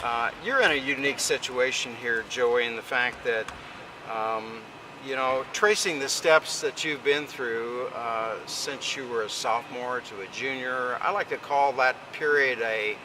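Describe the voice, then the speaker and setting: A man's voice: mid-range voice, measured pace. American sports interviewer, man, noisy gym. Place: basketball fieldhouse. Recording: clear ambient noise of a gym.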